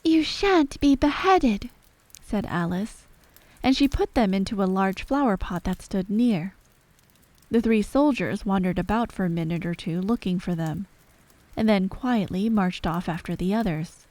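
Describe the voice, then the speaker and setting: A woman's voice: medium pitch, moderate pace, dark tone, no room sound. A woman storyteller reading a scripted bedtime story in an ASMR narration style.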